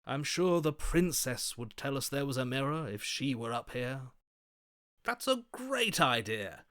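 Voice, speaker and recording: An actor playing a gallant, lovestruck romantic in a video game, florid, vain and grandly theatrical. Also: male voice, medium pitch, big pitch swings, a little slow, fairly bright tone. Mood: preening, wistful. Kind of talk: video game character acting.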